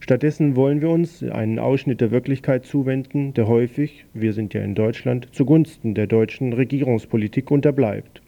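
A man presenting news on a small radio program, reading in a measured, matter-of-fact way. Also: medium pitch, vocal fry, warm tone. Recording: dull lo-fi radio recording.

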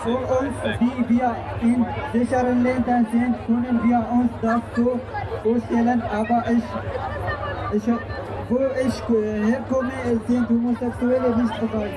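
Man, extremely high register, halting rally reader, little pitch movement, audible crowd behind. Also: level tone, low-quality recording. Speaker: rally speaker reading out a group chat. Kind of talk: halting read-out of prepared text. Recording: crowd noise at outdoor public event.

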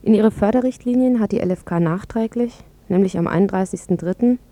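A woman with a mellow, relaxed tone presents a radio report. She reads from a script as a news commentary, at a medium pitch. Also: a little fast, dry recording.

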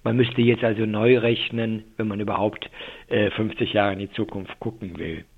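An older man explaining things as an expert in a radio interview over the telephone, with halting delivery and many filled pauses. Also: lowish voice, level tone, slightly rough. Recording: muffled, thin telephone line.

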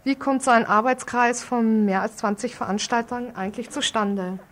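A woman's voice: fairly high pitch, fairly even intonation, moderate pace, a bit bright. A woman radio presenter reading a scripted report and introducing an interview.

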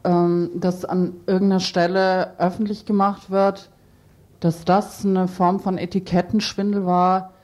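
A woman's voice: on the low side, level tone, a little slow, darkish tone. A woman speaking in a radio discussion, giving opinions and information.

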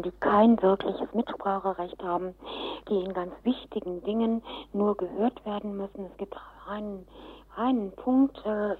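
A woman with a husky, middle-pitched voice answers in an interview. She explains spontaneously and with emphasis, repeating points. The recording is narrow, like a telephone line. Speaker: interviewed activist spokesperson.

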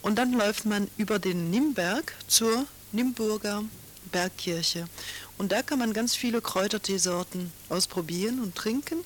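A woman, making relaxed, chatty announcements on pirate radio with fillers and self-corrections. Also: medium pitch, normal speed, slight background noise. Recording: old radio broadcast with music underneath.

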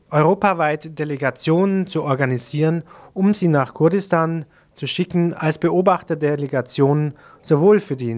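A man reading scripted news as a radio presenter. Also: a little high.

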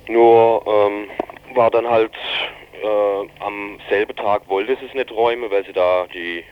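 A man being interviewed as an eyewitness, talking informally and haltingly, often rephrasing his sentences as he goes. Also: lowish voice, steady tone, smooth, dry recording. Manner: halting, rambling, keeps reformulating sentences. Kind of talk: spontaneous informal interview account. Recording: dull, band-limited radio interview recording.

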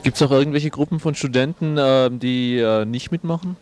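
A man's voice: medium pitch, moderate pace, darkish tone. A man working as a radio presenter, interviewing and announcing.

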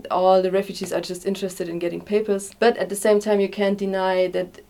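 A woman's voice: mid-range voice, level tone, fairly quick, creaky, mellow. A woman giving unscripted interview answers as an activist, hesitantly searching for her words. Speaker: refugee-support activist being interviewed.

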